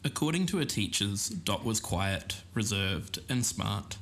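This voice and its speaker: A man's voice: lowish voice, sing-song, measured pace, a bit bright. A man co-hosting a podcast, moving between scripted narration and casual chat. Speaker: podcast co-host. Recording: clear background noise, recorded on location.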